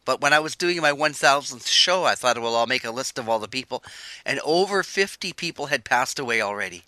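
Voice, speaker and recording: An older man hosting a radio show, chatting in a relaxed, rambling way. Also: medium pitch, brisk, no room sound, low-quality recording. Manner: relaxed, chatty, wandering sentences. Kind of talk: casual interview chat.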